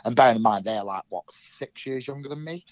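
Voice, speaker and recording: A man's voice: mid-range voice, fairly quick, highs cut off. A young man from England with a cold, sounding nasal, telling his story in an interview with many ums and restarts. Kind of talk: casual recounting in an interview.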